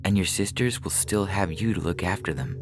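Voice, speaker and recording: A man's voice: sits low, a little fast, voice pushed up. A man voicing a devoted mother in a science fiction story, tender and reassuring but firm, hiding her dread. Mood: strained. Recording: music behind the voice.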